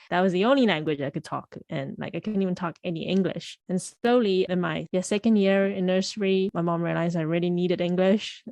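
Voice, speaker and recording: A young woman, raised in South Africa with Shanghainese as her first language, talks through her story in a relaxed podcast chat. Her voice sits fairly low and sounds dry and close, and she peppers her speech with "like" and quick laughs. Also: no echo. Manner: chatty, laughs often, many filler likes. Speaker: podcast co-host. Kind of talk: casual chat.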